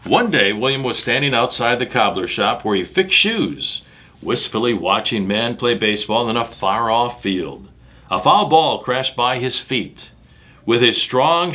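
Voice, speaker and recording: A man reads a children's story aloud with a very lively, swooping delivery. His voice is bright and forceful at a medium pitch, and he stresses key words dramatically while talking straight to the young listeners. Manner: dramatic, emphatic, addressing young listeners directly. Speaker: storyteller reading a picture book aloud to children. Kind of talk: animated read-aloud with asides about the pictures.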